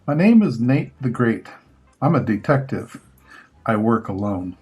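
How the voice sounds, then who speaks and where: Older male storybook reader, husky, unhurried, measured phrasing for young children. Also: medium pitch, big pitch swings, highs cut off, soft background music. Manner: measured, pausing between short phrases. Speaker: grandfather reading picture books aloud. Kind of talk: children's storybook read-aloud.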